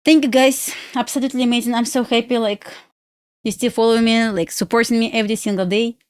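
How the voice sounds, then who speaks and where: A woman's voice: on the high side, steady tone, quick pace, clear, resonant tone, no room sound, studio quality. Bubbly woman. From Belarus. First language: Russian. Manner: bubbly and giggly, with loose non-native grammar. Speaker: futures day trader streaming live. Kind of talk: casual live stream chat.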